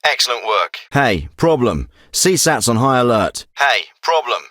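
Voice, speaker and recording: A special forces commander in a military shooter. His voice is hard-edged and very bright, with animated pitch movement. He gives orders in a terse, businesslike, authoritative manner, recorded close and dry. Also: measured pace, dry recording. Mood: urgent, businesslike. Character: gruff, businesslike male special forces commander briefing an operative. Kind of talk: scripted military video game dialogue.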